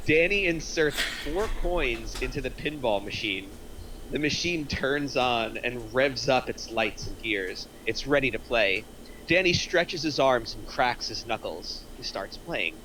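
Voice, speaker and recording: A man's voice: mid-range voice, animated, measured pace, background noise. A man reading scripted stage directions aloud in narration at a screenplay table reading.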